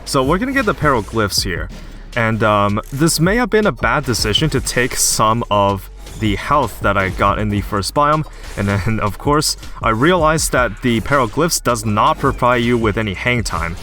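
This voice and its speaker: Young man, slightly hoarse, lively bright delivery, casual gameplay commentary over faint game music. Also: mid-range voice, normal speed. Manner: casual, chatty, explanatory. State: slightly hoarse from recent illness. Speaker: gaming YouTuber.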